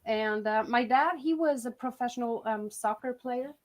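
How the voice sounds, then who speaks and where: A woman's voice: on the high side, moderate pace. A young woman from Switzerland, a singer-songwriter chatting as a guest on a video-call interview, often restarting her sentences. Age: young adult. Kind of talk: casual interview chat.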